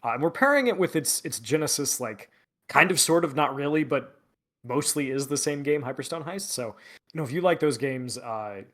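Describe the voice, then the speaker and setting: A man's voice: fairly high pitch, animated, moderate pace, a bit bright. Rambling male podcast co-host. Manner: rambling, hesitant, filler-heavy. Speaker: editor-in-chief, podcast co-host. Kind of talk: casual podcast chat.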